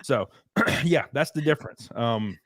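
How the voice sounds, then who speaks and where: Animated Southern man hosting a stream. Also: mid-range voice, unhurried, no room sound. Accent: Southern American. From southern United States. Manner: animated, laughing, reads viewer chats aloud. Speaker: podcast host. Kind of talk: casual livestream chat.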